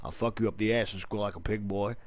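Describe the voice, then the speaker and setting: A man's voice: fairly low pitch, steady tone, fast, no echo, natural voice. A man playing a crude, menacing rural American hick with a slack-mouthed, drawling mumble. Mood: crude menace.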